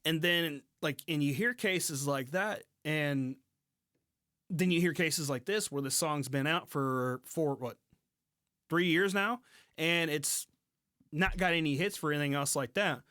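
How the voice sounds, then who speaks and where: A man's voice: on the high side, unhurried, sharp tone, no room sound, clean studio sound. An American man hosting a podcast, chatting casually in informal slang and often restarting his phrases. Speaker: podcast host. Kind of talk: casual music podcast chat.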